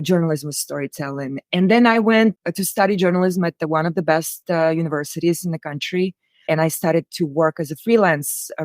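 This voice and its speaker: A woman's voice: sits low, no room sound, clean studio sound. A woman from Russia with a Russian accent, speaking conversationally and earnestly as an interview guest telling personal stories. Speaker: interview guest, writer-director.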